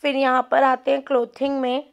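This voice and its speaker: High-pitched chatty woman. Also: monotone, moderate pace, gravelly, highs cut off. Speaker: shopping vlogger. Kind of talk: casual vlog voice-over with English words mixed in.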